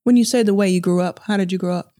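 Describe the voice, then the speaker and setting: A woman with a mellow voice hosts an informal podcast interview. She is curious and affirming as she talks, and the recording is dry with hardly any room sound. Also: mid-range voice, rapid-fire, dry recording. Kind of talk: casual conversational interview.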